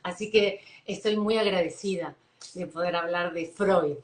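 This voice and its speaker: A woman from Buenos Aires with an Argentine accent, a psychologist explaining ideas enthusiastically in an interview, pausing with fillers. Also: on the low side, echoey room. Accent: Argentine Spanish, Buenos Aires. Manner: warm, enthusiastic, thoughtful with many fillers. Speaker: psychologist guest. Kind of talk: live-stream interview.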